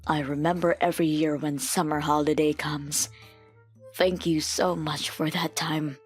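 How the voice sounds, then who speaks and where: A woman playing a gentle, grateful older relative in a comic dub. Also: low-pitched, little pitch movement, measured pace, husky, faint music behind.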